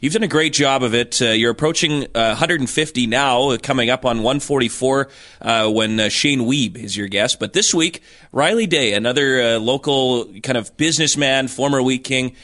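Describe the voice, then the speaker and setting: A man with a hoarse, scratchy voice, the team's radio play-by-play announcer. He talks in a chatty, self-deprecating way on a casual sports podcast. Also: mid-range voice, moderate pace, fairly bright tone.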